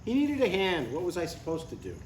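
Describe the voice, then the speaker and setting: A man plays an older father, stubborn but affectionate, at a live comedy table read. His voice sits fairly high and moves animatedly, yet stays matter-of-fact. He sounds a little away from the mic. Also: huge pitch swings, fast talker. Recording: roomy, distant live recording, faint noise.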